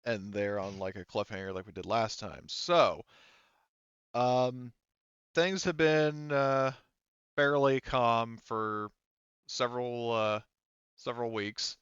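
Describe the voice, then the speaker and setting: Bright, clear male game master, muffled audio. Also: medium pitch, fairly even intonation, slow pace, pauses often, dry recording. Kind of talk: running and narrating a tabletop game.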